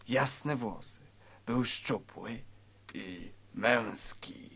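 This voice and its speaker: A man narrating a spoof crime story in a theatrical, deliberate, mock-dramatic style. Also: mid-range voice, no room sound.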